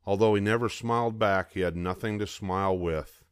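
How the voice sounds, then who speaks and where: Older male reader for a kids' storybook, low voice, steady and even, very dry close sound. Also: moderate pace, clean tone, dry recording. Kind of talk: reading a picture book aloud.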